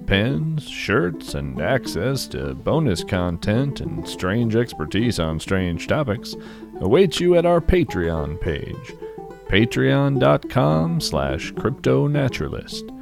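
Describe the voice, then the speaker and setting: Slow, gravelly man reading podcast notices. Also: medium pitch. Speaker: podcast host. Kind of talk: scripted podcast announcement. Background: plucked guitar music.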